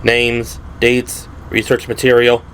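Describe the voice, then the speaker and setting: A man's voice: medium pitch, completely flat delivery, slow pace, a bit bright, a little hiss or hum. A man reading dramatic, scripted horror narration for an audio drama, with music and effects behind him. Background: music and sound effects.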